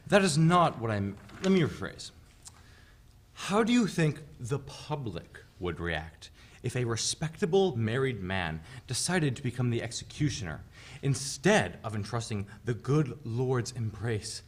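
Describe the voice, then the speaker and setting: A man acts out a colonial envoy in a stage play reading, coldly courteous and condescending. His voice is husky and swings widely in pitch. He speaks formally, pausing as he picks his words. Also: sing-song. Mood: dismissive, insistent. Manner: formal, condescending, hesitant phrasing. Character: smooth, coldly polite colonial government envoy, unbothered by cruelty.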